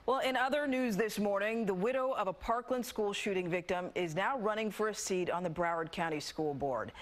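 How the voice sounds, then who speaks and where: A woman's voice: medium pitch, a little fast. American woman anchoring a TV newscast. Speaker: TV news anchor. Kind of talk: scripted newscast narration.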